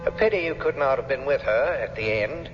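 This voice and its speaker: A man's voice: medium pitch, lively intonation, a little fast, close to an everyday voice. A man playing a formal older father reading out a letter to his son in a 1970s radio drama. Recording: dull old radio broadcast with reverb and music.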